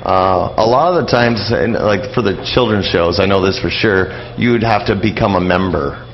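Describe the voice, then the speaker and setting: Deep, gravelly middle-aged man, halting talker. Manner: halting, rambling, often repeating phrases. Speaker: interview guest, old-time radio enthusiast. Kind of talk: casual radio interview, reminiscing and explaining. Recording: dull, lo-fi radio interview with noise.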